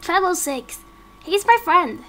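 A woman's voice: very high voice, lively intonation, slow, a bit bright, a little hiss or hum, voice pushed up, exaggerated voice. A woman voicing a small, innocent, worried child in an amateur game roleplay.

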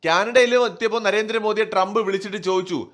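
A man's voice: sits high, fast, a bit bright. A man giving opinionated political commentary in an emphatic, rhetorical manner. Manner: emphatic, rhetorical, asking and answering own questions. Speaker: online news commentator.

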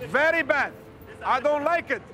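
High-voiced man, blunt and clipped. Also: lively intonation, normal speed, pushed and bright. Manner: blunt, short clipped phrases. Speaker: ship's provisions manager. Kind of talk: on-the-job explanation and instructions. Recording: location sound with music underneath. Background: music.

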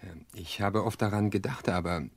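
A courteous widowed businessman in a radio drama, with a deep, husky male voice recorded close and dry. His delivery is reserved, polite and measured. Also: no echo. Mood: reflective. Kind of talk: German radio drama acting.

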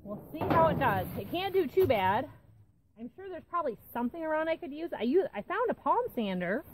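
A woman's voice: high voice, sing-song, a little fast, background noise. A woman in her forties talking casually while she works on a farm, thinking aloud as she goes, recorded outdoors by a camera nearby. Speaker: homesteading channel host. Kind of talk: casual talk while working. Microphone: camera on a tripod nearby.

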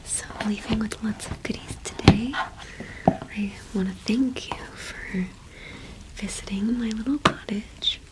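A young woman playing a kind, welcoming cottage witch in a whispered ASMR roleplay, speaking softly and reassuringly without hurry. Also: a little high, steady tone, natural voice, poor audio. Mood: kindly, welcoming. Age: young adult. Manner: soft, unhurried, gently reassuring. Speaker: ASMR performer.

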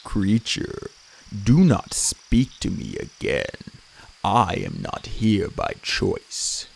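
A man voicing an ancient male horned god who speaks softly, slowly and without emotion, in a low rumble. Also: huge pitch swings, gravelly, exaggerated voice, low-quality recording. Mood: cold. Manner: soft, slow and deliberate.

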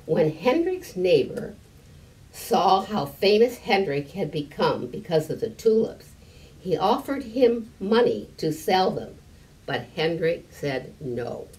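An elderly woman reads a picture book aloud to children in a deliberate, slightly halting manner, adding small explanations. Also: lowish voice, big pitch swings, a little mellow. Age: 70s or older. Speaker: story time reader. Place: library room with stone fireplace, indoors. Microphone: camera a few meters away. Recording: distant mic, room noise.

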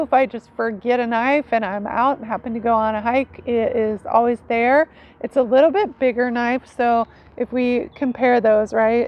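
A woman in her forties giving an informal, conversational explainer to camera outdoors, like a teacher showing gear. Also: fairly high pitch. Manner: informal, conversational, often seeking agreement with "right". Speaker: foraging teacher presenting knives. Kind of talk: casual explainer talk to camera. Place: outdoors under a tree in a leafy yard. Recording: dull sound with outdoor ambient noise.

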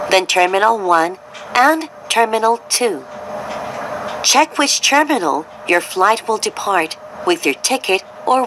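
A woman reading a scripted train announcement in formal, deliberate, clearly separated phrases over a monorail car's speakers. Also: mid-range voice. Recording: train cabin noise behind announcement.

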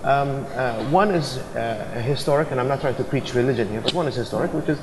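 A middle-aged man speaking on a business conference panel, earnest and halting with fillers. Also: mid-range voice, in a large hall, slight background noise, low-quality recording. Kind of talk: panel discussion. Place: large conference hall stage.

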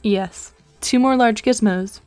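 A woman voicing a dry, precocious teenage girl in a web comic dub, speaking in a measured, formal, deadpan way. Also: a little high, soft background music. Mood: composed.

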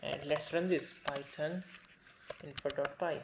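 A man's voice: a little high, steady tone, a little slow, creaky, noisy background. A young man from India, a native Hindi speaker with an Indian accent, explaining a coding project over a screen recording with frequent fillers. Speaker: programming tutor.

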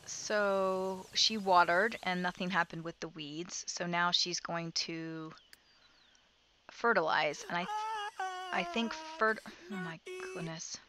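A woman's voice: lowish voice, takes it slowly, pushed and bright, a little hiss or hum. A woman casually commenting as she plays a life-simulation game, thinking aloud and giving her characters directions. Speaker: let's play host.

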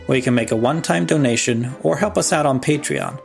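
A man's voice: medium pitch, a little fast, vocal fry. A man hosting a podcast, reading a scripted show promo and credits. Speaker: podcast host. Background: music.

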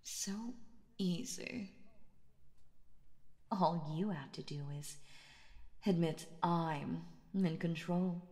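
A woman playing a calm, possessive, dominant boss in an intimate ASMR roleplay, speaking in a coaxing, breathy voice that often slips into whispers. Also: lowish voice. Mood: teasing, dominant. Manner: slow, coaxing, seductively dominant. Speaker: voice actor narrating roleplay. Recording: long reverb on the voice.

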